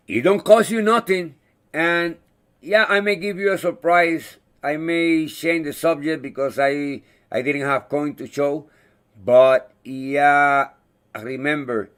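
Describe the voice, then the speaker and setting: A man whose first language is not English, hosting a casual live chat, talking in a halting way and searching for words. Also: a little high, a bit bright, clean tone. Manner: friendly, thanks viewers by name. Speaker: livestream host.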